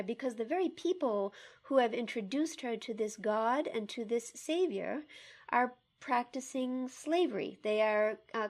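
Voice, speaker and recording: A woman co-hosting a poetry discussion, speaking in a careful, articulate way as she reads closely and poses questions. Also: fairly high pitch. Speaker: podcast co-host.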